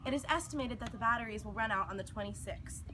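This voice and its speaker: A woman's voice: on the high side, quick pace, bright, forceful tone, slight background noise. A woman presenting a scripted news report, read aloud. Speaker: news presenter in a mock 1950s newscast.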